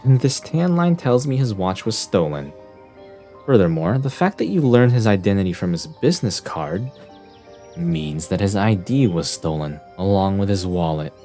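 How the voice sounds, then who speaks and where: Deep voice, man playing weary reclusive young detective, anime dub, deadpan, theatrical, music underneath. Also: normal speed. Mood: assured. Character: aloof, eccentric young male genius detective, reclusive and weary.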